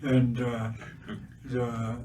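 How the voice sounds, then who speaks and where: An older man whose first language is Blackfoot, giving an earnest public talk with many pauses and fillers and a touch of gentle humor. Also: mid-range voice, completely flat delivery. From Siksika Nation, Alberta, Canada. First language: Blackfoot (Siksika). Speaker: guest speaker, residential school survivor. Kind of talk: public talk at a livestreamed community event. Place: cultural centre event space. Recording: distant mic with faint ambient noise.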